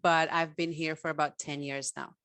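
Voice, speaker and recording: A woman's voice: deep voice, level tone, moderate pace, fairly bright tone, smooth, dry recording. A woman from Slovenia, chatting casually and animatedly as an interview guest. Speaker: relationship coach, podcast guest.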